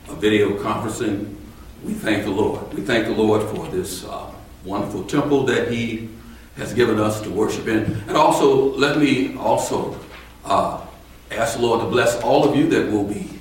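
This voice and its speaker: A man preaching a sermon in a temple, his voice a little strained, speaking slowly and deliberately and rising to emphatic points. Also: fairly low pitch, slight background noise. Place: temple sanctuary. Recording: echoey hall from far off.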